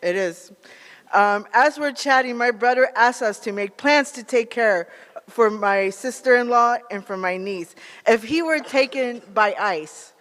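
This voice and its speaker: A man with an American accent giving a prepared advocacy speech in an earnest, measured manner. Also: very high-pitched, fairly bright tone, in a large hall. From United States, born in Peru. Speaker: national organizer, advocate.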